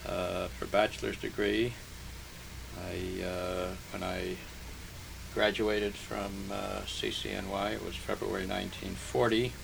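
Older man, low gravelly voice, halting. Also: flat delivery. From Hungary, raised in New Jersey. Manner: slow, halting, many pauses and fillers. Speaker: interviewee. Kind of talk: oral history interview. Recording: noisy archival tape recording.

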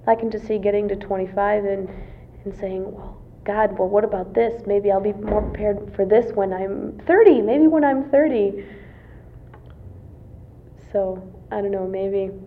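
A woman's voice: medium pitch, steady tone, a little slow, a little hiss or hum. A woman in her twenties, a college student answering interview questions casually, with many fillers and restarts. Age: 20s. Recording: tinny phone-like lo-fi recording.

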